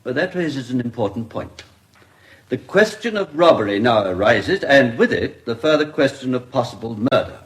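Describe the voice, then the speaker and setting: A man playing a courteous, formal English coroner presiding over an inquest in a radio drama, with measured courtroom diction. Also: mid-range voice, lively intonation, natural voice. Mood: courteous, probing.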